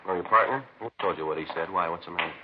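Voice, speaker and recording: An American actor playing a relentless police sergeant in a 1950s radio crime drama, clipped, matter-of-fact and pressing. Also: male voice, sits low, racing, heavy background noise, natural voice. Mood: businesslike. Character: relentless, businesslike male police detective sergeant. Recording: muffled vintage radio recording.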